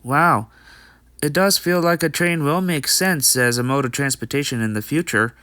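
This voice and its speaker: A man's voice: on the high side, moderate pace, sharp tone, clean tone, no echo, studio quality. A man playing a friendly, dutiful young male pony in an amateur comic dub, explaining things in an earnest, read-out way. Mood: earnest, pondering.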